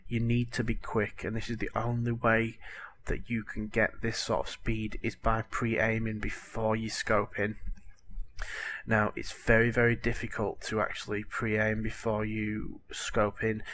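A man's voice: fairly low pitch, monotone, unhurried, a bit bright. A man with a cold and a cracking voice, rambling chattily with lots of fillers over game-tutorial footage. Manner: chatty, rambling, apologetic, lots of "you know". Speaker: gaming tutorial host.

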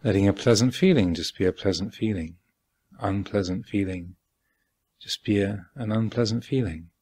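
Low, husky man guiding meditation slowly. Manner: calm, measured, deliberate with long pauses. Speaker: meditation teacher. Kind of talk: guided meditation instruction. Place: meditation hall at a retreat.

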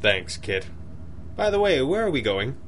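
An actor playing a grouchy, commanding masked crime-fighter with dry, formal speech in a 1930s-style radio drama. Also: male voice, medium pitch, big pitch swings, a little slow, a bit bright, a little hiss or hum.

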